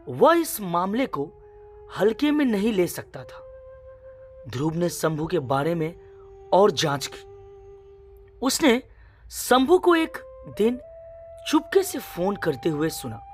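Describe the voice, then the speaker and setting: A woman narrating a scripted suspense story in a dramatic, measured way, in short phrases. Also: on the low side, big pitch swings, a little mellow, soft background music.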